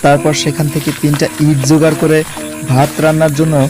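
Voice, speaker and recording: Slightly high-voiced man narrating horror. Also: monotone, a little fast, music in the background. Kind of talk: scripted horror story narration.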